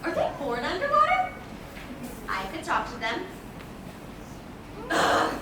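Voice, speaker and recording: A woman as lively, high-voiced young adventurer, echoey stage. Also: slow, exaggerated voice. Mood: playful, teasing. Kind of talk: live stage play acting. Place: theatre stage. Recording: distant mic, echoey theatre, background noise.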